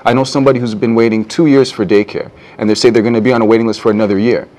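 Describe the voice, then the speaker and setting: A man's voice: mid-range voice, fairly even intonation, racing, a little mellow. A young man answers questions as a candidate in a televised studio debate. He talks earnestly and now and then stumbles and restarts a word. His voice comes through a clean lapel mic. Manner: earnest, some stammering restarts. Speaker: political candidate.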